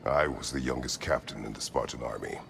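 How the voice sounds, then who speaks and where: A man playing a brooding, battle-worn former war god and general in a video game, gravelly, terse and grave. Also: brisk. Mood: solemn, reflective. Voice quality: very deep, gravelly. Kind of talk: dramatic video game acting. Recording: long cavernous reverb, music behind.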